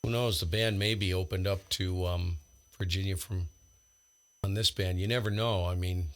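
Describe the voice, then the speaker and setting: A man's voice: low voice, fairly even intonation, moderate pace. An American man live-streaming ham radio with casual, blunt, slangy commentary and radio calls. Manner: blunt, slangy, exasperated grumbling.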